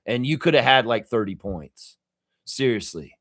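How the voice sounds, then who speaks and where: A man hosting a fan reaction show, raspy from yelling at a game, ranting emphatically with swearing and repeated phrases. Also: mid-range voice, animated, moderate pace. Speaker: fan channel host. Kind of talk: fired-up fan reaction rant.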